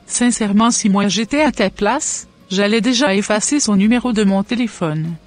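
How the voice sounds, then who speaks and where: A woman's voice: mid-range voice, normal speed, darkish tone, faint music behind, lightly acted. A woman voicing a cautious, pious mother of a grown son, admonishing in a scripted cartoon dub.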